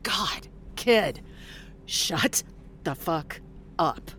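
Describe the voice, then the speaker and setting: A woman's voice: lowish voice, expressive, extremely slow, a bit bright, a little hiss or hum, heavily acted. An actress playing a blunt, weary middle-aged woman fresh out of prison in an audio drama, swearing in exasperation. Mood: exasperated.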